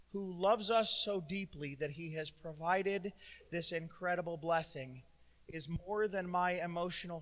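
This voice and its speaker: A middle-aged man preaching a sermon as pastor, loud and emotional, with self-deprecating humor. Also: high-pitched. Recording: long hall reverb.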